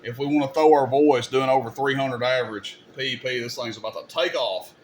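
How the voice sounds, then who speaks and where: Casting: male demo host, slightly low voice, informal and opinionated, casual explainer delivery, audible background noise. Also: steady tone, a little fast. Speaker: host demonstrating radio gear. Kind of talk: casual hands-on demonstration. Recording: clear background noise, room ambience.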